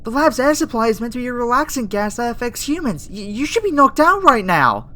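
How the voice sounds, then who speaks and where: A woman voicing a gentle, flustered young female alien scientist in an ASMR roleplay, speaking soothingly and hesitantly with stammers. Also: a bass voice, lively intonation, takes it slowly, exaggerated voice. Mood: startled, panicked. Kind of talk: ASMR roleplay audio acting. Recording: faint background noise under the voice.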